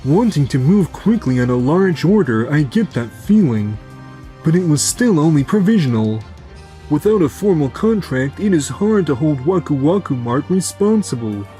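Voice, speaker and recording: A man's voice: fairly high pitch, big pitch swings, moderate pace, dark tone, faint music behind. A man voicing a stern, matter-of-fact boss in a manga dub. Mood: stern, unmoved.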